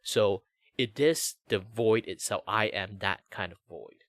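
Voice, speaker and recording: A young man with a Malaysian English accent chats to his stream as he draws. His voice sits fairly low, and he rambles cheerfully with plenty of fillers and restarts. The sound is close and dry, with no room around it. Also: a little slow, a bit bright, no room sound. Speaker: streamer host. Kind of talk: casual chat while drawing.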